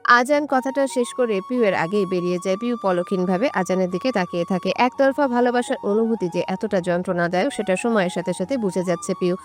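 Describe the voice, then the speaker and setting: A woman's voice: medium pitch, a little fast. Woman narrating a romantic story over music.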